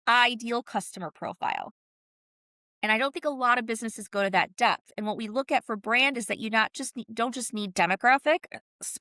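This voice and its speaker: A woman's voice: a little high, pushed and bright, no echo. A woman explaining ideas as an interview guest, speaking conversationally in an emphatic, punchy way with colloquial asides. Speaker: guest, brand consultant and author.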